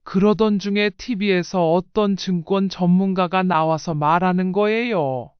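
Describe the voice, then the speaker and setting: A woman's voice: on the low side, steady tone, a little slow, smooth, no echo, muffled recording. A woman reading a scripted first-person life story in audiobook style. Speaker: narrator.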